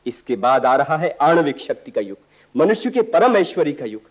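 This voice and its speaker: A man's voice: a little high, big pitch swings, normal speed. A male actor playing an impassioned, stubborn young idealist in a radio play, with earnest, oratorical delivery. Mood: impassioned, lecturing. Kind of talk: Hindi radio play acting.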